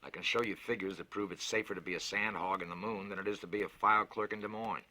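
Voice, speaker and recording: A man's voice: fairly low pitch, steady tone, fast talker, sharp tone. A man voicing a defensive lunar engineering official in an audio story, persuasive and insistent like someone giving sales talk.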